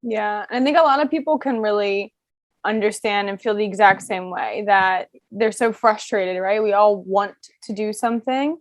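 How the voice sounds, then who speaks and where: A woman's voice: medium pitch, normal speed, no room sound, studio quality. A woman hosting a podcast, chatting casually as she interviews a guest.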